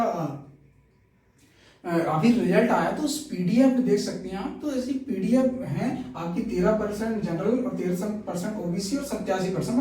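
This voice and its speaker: A man's voice: high-pitched, warm tone. A man giving an informal explainer and reading out figures, repeating himself and often checking that listeners follow. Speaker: exam-news video presenter. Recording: echoey room, distant mic.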